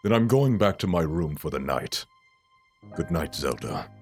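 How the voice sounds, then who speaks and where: A man's voice: rumbling low, very animated, gravelly, faint music behind, exaggerated voice. An actor playing a proud, hot-tempered but tender king of evil in a theatrical comic dub. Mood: grave, tender. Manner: theatrical, slow and deliberate. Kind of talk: dramatic comic-dub voice acting.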